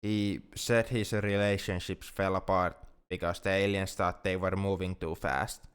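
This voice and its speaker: A man acts out a self-doubting alien friend in an intimate ASMR roleplay monologue. His voice is deep and clean, with a resonant smoothness. He speaks earnestly in careful, formal wording that halts as he goes, anxious and lovesick. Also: flat delivery, unhurried. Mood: bitter, pained.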